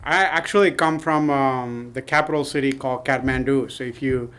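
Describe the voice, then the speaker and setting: A man's voice: mid-range voice, normal speed, a bit bright, smooth. A man from Nepal, answering interview questions hesitantly with many ums and uhs. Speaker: podcast guest. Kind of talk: casual interview.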